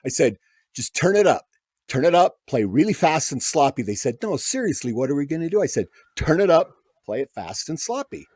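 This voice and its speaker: Man, storyteller, podcast guest, animated pitch, dry recording. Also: brisk, husky, no echo. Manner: animated anecdote teller, acts out quoted voices. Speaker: guest musician. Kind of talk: casual storytelling.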